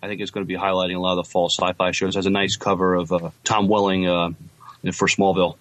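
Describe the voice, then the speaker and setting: A man with a moderately low voice co-hosts a casual podcast. His delivery is halting and conversational, with hesitant, repeated words as he chats and reads out comments. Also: level tone, a bit bright. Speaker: podcast co-host. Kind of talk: casual chat, reading out listener comments.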